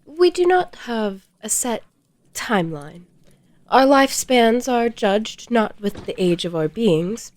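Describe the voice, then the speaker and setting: An actress voices a female clockwork being in a fantasy audio play, formal and serene. The voice is fairly high and close and dry, stating things in a measured, literal way. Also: darkish tone, no echo. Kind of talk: fantasy audio drama acting.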